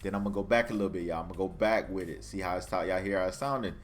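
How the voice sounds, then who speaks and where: A man with a deep voice giving a scripted product review from home, casually addressing his viewers as y'all, recorded on a budget USB desk mic. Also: very fast. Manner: casual, calls the audience y'all. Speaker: tech reviewer. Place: home room with carpet and a PC. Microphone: budget USB condenser desk mic.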